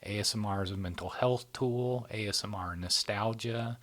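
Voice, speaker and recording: A man with a fairly low voice hosts a relaxed interview. He keeps his guest going with frequent affirmations and picks up energy when he presents figures and topics. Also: moderate pace. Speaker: interviewer and host. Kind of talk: casual interview, running through a topic list.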